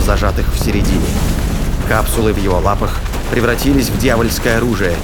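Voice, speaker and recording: A man reading scripted science fiction narration as the narrator of an audio drama. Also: on the low side. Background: dramatic music, battle sound effects.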